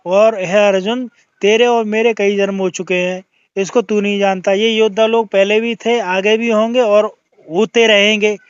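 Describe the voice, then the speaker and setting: Guy arguing religion on a call, pretty high smooth voice, dull lofi phone audio, keeps asking questions. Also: fairly even intonation, normal speed, dry recording. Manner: argumentative, questioning, quoting scripture from memory. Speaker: debater on a recorded call. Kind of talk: religious debate over a call.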